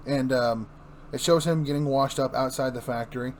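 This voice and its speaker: A man's voice: mid-range voice, moderate pace, heavy background noise. A man narrating and commenting casually on a comic as part of a dramatic reading. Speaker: reviewer and reader.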